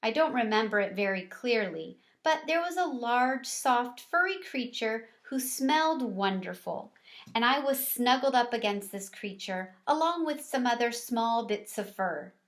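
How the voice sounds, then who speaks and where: A woman reading a children's storybook aloud, deliberately and expressively, as if for young listeners. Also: a little high, animated. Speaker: read-aloud teacher or reader.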